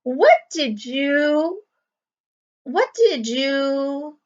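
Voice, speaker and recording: A woman's voice: sits high, very animated, very slow, highs cut off, full character voice. A woman reading the part of a young schoolgirl in a storybook, hurt but earnest and brave, speaking with careful emphasis. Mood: determined.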